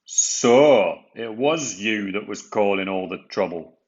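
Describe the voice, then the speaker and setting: A man playing an authoritative railway controller in a children's storybook, firm and measured. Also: fairly low pitch, lively intonation, muffled recording. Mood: stern realisation. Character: male railway controller, authoritative boss of the engines.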